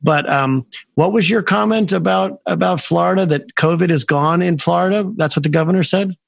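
A friendly man in his fifties hosts a podcast interview over a video call. He rambles along with plenty of fillers and restarts. His voice is gravelly, and the sound is dry and close. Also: high voice, moderate pace, dry recording. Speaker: podcast host. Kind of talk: casual interview chat.

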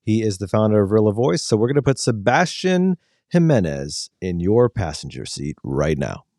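A man's voice: lowish voice, sing-song, moderate pace, mellow. A man co-hosting a podcast, reading a guest introduction and trading dry jokes. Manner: dry joking, scripted-sounding intro. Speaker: podcast co-host.

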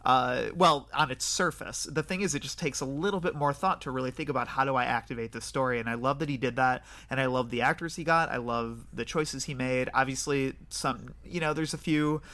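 A man with a bright, forceful voice at an average pitch. He hosts a film podcast, talking casually and with enthusiasm. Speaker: podcast host.

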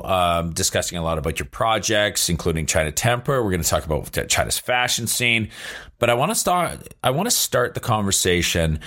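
A man hosting a business podcast, reading a measured scripted intro and then asking loose questions with fillers. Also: low voice, fairly bright tone. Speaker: podcast host.

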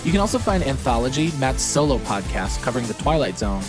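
A man reading a scripted podcast outro announcement in a steady, even delivery. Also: medium pitch, quick pace. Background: guitar music bed.

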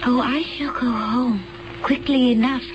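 A woman's voice: on the high side, steady tone, a little slow, background noise, close to an everyday voice. An actress in a 1970s radio drama playing a mysterious young woman, detached and wondering, with formal phrasing. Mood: dazed, wondering. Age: young adult. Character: mysterious, dazed young woman accused of killing. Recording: muffled old radio, music behind.

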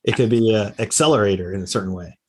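A man's voice: fairly low pitch, fast talker, darkish tone, slightly rough. A man hosting an interview, speaking in an encouraging, advice-giving way and asking his guest thoughtful questions. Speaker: host. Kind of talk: conversational interview.

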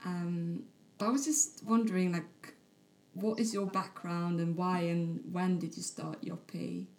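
A woman's voice: fairly low pitch, steady tone, measured pace, a little mellow. A woman hosting a podcast interview, encouraging and affirming her guest as she asks questions. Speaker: podcast host.

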